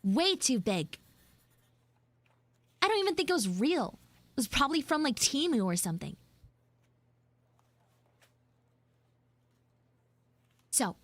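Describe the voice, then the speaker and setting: A sassy tsundere frog girl of high school age, voiced by a woman chats in a live roleplay. Her voice sits fairly high and swings widely in pitch as she rambles bluntly and swears. The sound is dry and close, with little room to it. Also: very animated, normal speed, a bit bright, dry recording. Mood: scornful. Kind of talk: improvised live audio roleplay, casual chatty monologue.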